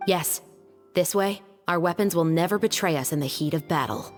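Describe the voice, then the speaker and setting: A woman voicing a disciplined, serious officer and weapons expert in an anime-style video game, firm, measured and instructive. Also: fairly low pitch, soft background music, lightly acted. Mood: composed, earnest.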